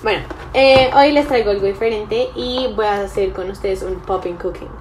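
A woman's voice: mid-range voice, normal speed, slight background noise, rough recording. A young woman chatting casually and a little unsurely to the camera as she works at a table in a room. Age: young adult. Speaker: vlogger. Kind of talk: casual chat to camera while cooking. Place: small indoor room, at a table.

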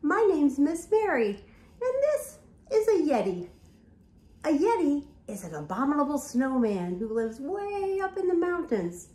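Older lady teacher reading a storybook, high lively voice, slow and drawn out. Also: reverberant. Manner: animated storytelling with gasps and whispers. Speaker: teacher reading aloud to young children. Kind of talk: picture-book read-aloud. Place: home room, indoors.

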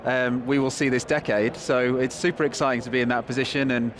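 Northern english guy in his 30s, mid pitch, chatty and keen, loud expo crowd noise behind. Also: flat delivery. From England. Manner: quick, enthusiastic, with fillers. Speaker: guest, company chief executive. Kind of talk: conversational interview answers. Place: convention hall floor. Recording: busy trade show noise behind.

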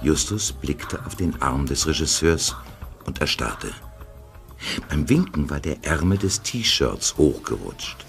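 Very deep, gravelly male narrator. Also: big pitch swings, takes it slowly, faint music behind. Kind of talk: scripted narration.

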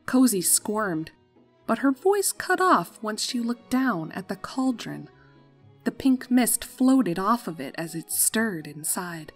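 A woman's voice: mid-range voice, sing-song, slow. Woman narrating a story over music.

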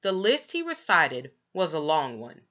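A woman reads a children's story aloud, leaning deliberately on key words. Her voice is fairly low, bright and lively in its rise and fall. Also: muffled recording. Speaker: children's book narrator. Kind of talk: expressive read-aloud storytelling.

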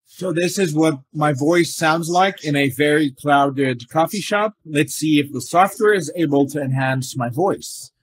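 A man's voice: on the high side, measured pace, breathy edge. A man making a plain, matter-of-fact test recording in a crowded coffee shop. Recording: noisy cafe phone recording.

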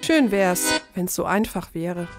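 A woman narrating a scripted explainer in a measured, matter-of-fact way. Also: on the low side, lively intonation, loud music behind the voice.

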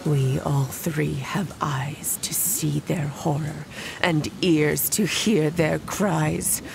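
A woman playing the undead young Bride of Frankenstein in a gothic horror audio drama, solemn, hushed and breathy in a poetic monologue. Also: sits low, fairly even intonation, background noise, heavily acted. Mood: dark, fervent. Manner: breathy, hushed, incantatory, deliberate.

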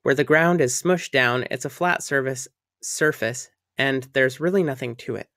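A man talks through a software tutorial over a screen recording, friendly and upbeat as he explains each setting. His voice sits at an everyday middle pitch and is recorded close and dry, with no room sound. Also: moderate pace, no echo.

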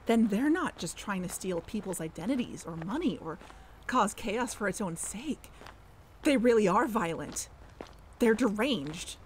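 A woman's voice: mid-range voice, expressive, a little slow, slight background noise, lightly acted, low-quality recording. A woman playing an earnest, suspicious amateur investigator hosting a podcast in a scripted audio drama. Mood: grave, suspicious. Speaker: podcast host.

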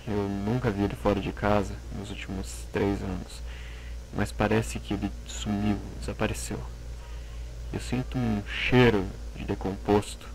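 A man playing a worried friend giving halting testimony in an analog horror story, his voice gravelly. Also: lowish voice, rough recording. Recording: music behind the voice.

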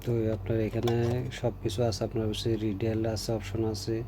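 A man with a Bangladeshi Bengali accent gives an informal, halting repair demonstration as a technician, often repeating phrases. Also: lowish voice, monotone. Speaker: repair technician presenting a tutorial. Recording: noticeable background hum and noise.

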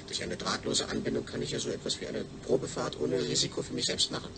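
A man's voice: medium pitch, fast talker, gravelly, noisy background. A man playing an eager, needy astronaut's brain speaking emphatically through a speech synthesizer in a radio drama. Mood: insistent. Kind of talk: German radio drama acting.

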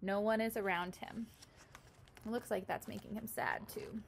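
A woman's voice: medium pitch, expressive, moderate pace, gravelly, a little hiss or hum. A woman in her twenties, a pre-kindergarten teacher, reading a picture book aloud and explaining it simply and expressively with questions for young children. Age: 20s. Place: small room at home, indoors.

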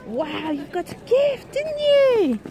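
Very high-pitched, coaxing mother outdoors. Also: very animated, takes it slowly, music in the background. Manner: exclamatory, coaxing, sing-song child-directed talk. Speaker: vlogging mother. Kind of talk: casual excited chat to small children. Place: outdoors on a woodland trail. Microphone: handheld phone, behind the camera. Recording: outdoor phone video with chatter behind.

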